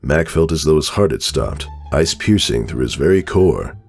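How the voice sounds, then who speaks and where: A man with an extremely low voice narrates a story in a dramatic scripted reading. Music plays behind him. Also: animated, moderate pace.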